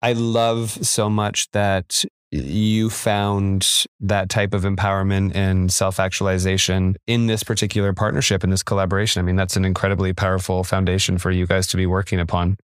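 A man hosting an interview, asking questions in a measured, polished, attentive way. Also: sits low, little pitch movement, clear, resonant tone, dry recording, studio quality. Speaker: interviewer host.

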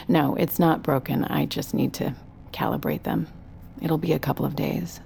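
An actress playing an evasive but accommodating therapist, hesitant and stalling, in a naturalistic audio drama. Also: female voice, low voice, measured pace, gravelly, darkish tone, a little hiss or hum, heavily acted.

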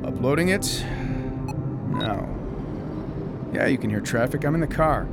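An actor playing a paranoid, self-important conspiracy theorist in a radio drama, speaking with emphatic indignation. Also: male voice, mid-range voice, animated, a little slow, creaky, loud music behind the voice. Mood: brisk, agitated.

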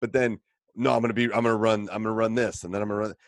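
A man hosting a casual podcast conversation as a coach, blunt and animated, his voice jumping up when he makes a point. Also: very fast, dry recording. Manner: animated, blunt opinions, rising pitch when emphatic. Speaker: podcast host and basketball coach. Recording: dry close sound.

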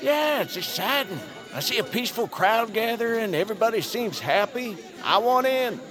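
A man's voice: high voice, expressive, normal speed, music in the background. A man playing a clueless, easygoing bystander in a crowd in an audio drama. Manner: dopey, easygoing.

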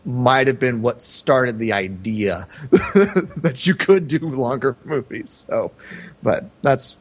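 Guy podcast host just chatting movies, emphatic, middle pitch, sound a bit dull. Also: slow pace. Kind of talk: casual film discussion. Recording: slightly dull, band-limited podcast audio.

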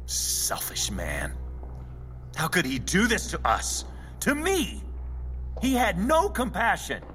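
An actor playing a greedy, bitter rich heir in an audio drama, haughty and sardonic with theatrical petulance. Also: male voice, high-pitched, wildly swooping pitch, slow pace, fairly bright tone, a little hiss or hum, heavily acted. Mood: bitter outrage. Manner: haughty, sardonic, swooping delivery. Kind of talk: dramatic audio fiction acting.

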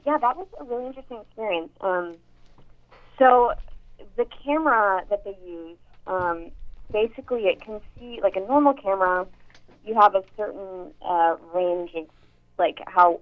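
A young actress with a somewhat deep voice, chatting casually in an interview with many fillers and restarts. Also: female voice. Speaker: actress and voice actor. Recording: tinny phone-like line.

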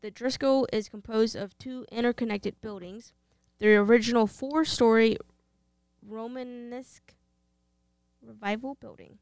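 A woman's voice: fairly high pitch, steady tone, measured pace, darkish tone, clean tone, no room sound. A woman with a Texas twang, co-hosting a podcast, moving between casual chat and reading out research notes. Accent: American, Texas twang. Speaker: podcast co-host.

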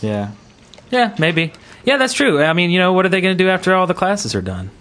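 A man's voice: high voice, big pitch swings, fairly quick, fairly bright tone. A man hosting a gaming podcast in casual, rambling, jokey chat. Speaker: podcast host.

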